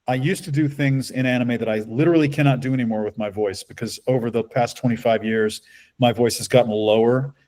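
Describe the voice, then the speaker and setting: A middle-aged man with a low bass-baritone voice, a voice actor talking at length in a casual interview. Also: a little fast. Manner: relaxed, talkative, storytelling. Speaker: voice actor guest.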